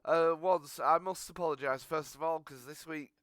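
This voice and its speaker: A man's voice: fairly high pitch, moderate pace, fairly bright tone, clear, resonant tone, dry recording, clean studio sound. Bunged-up Northern English man chatting. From Northern England. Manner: rambling, stop-start, laughs often. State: congested with a cold. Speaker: podcast host. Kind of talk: casual chat.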